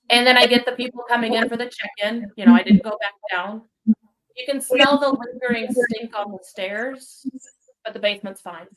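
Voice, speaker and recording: A woman's voice: mid-range voice, low-quality recording. Woman guest, paranormal investigator, easy storytelling full of ums. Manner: relaxed, many ums, vivid retelling. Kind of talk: storytelling in casual chat.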